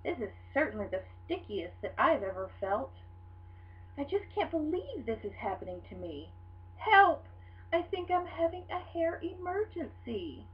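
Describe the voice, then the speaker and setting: A woman's voice: high voice, big pitch swings, a little slow. Woman voicing a dramatic, whiny little schoolgirl, swooping wildly. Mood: distressed. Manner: theatrical, whiny, emphatic. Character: dramatic, fashion-obsessed, pleading little schoolgirl. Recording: dull lo-fi sound with hum.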